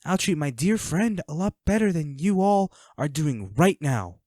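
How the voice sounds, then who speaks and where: A man playing a flirty, menacing villain in an audio roleplay, speaking to the listener with taunting, mock-sympathetic, unhurried delivery. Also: on the high side, lively intonation, darkish tone, no echo, clean studio sound. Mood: coaxing menace. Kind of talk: scripted audio roleplay acting addressed to the listener.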